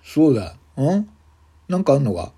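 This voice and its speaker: A man voicing a menacing, foul-mouthed gangster hotel guest, domineering and curt, with rough slangy speech. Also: medium pitch, very animated, moderate pace, voice pushed up, full character voice. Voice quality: loud, rough shouting when angered.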